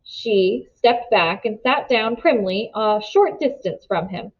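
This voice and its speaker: A woman narrating a children's novel aloud in an expressive storybook style, on a slightly muffled recording. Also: mid-range voice, slow. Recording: slightly dull, muffled sound.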